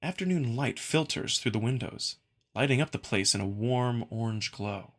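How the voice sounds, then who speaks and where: A man's voice: mid-range voice, moderate pace. A man reading dramatic scripted narration for a story. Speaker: narrator and reader of a visual novel.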